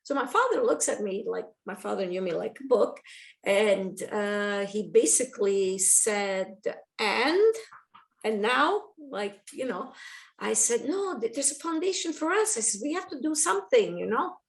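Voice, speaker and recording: A woman guest telling a personal story in a lively way, acting out quoted dialogue. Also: mid-range voice, a little slow, creaky. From Montreal, Canada. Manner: animated, acts out quoted dialogue. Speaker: guest, foundation chapter coordinator.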